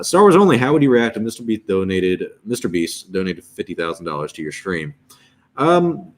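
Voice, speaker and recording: A man in his twenties hosting a casual livestream, rambling and restarting as he reads chat and chats aloud. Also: deep voice. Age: 20s. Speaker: streamer host.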